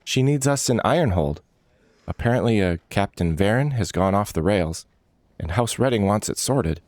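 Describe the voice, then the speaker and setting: A man playing a steady, serious male leader of an adventuring band in a fantasy story. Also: moderate pace, dry recording, lightly acted. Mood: steady, businesslike. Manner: low-key, steady, serious.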